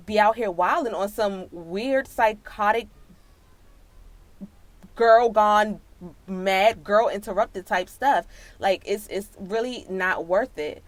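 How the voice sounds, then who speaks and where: An American woman telling a personal story and giving advice in a casual, slangy, emphatic way, speaking straight to her listeners. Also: on the low side. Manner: emphatic, slangy, sisterly direct address to listeners. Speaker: storytelling vlogger.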